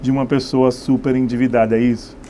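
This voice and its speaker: A man's voice: mid-range voice, little pitch movement, quick pace, mellow, slight background noise. A man hosting a local TV studio show, who asks leading questions and sums up his guest's answers before cutting to a break. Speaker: TV show host.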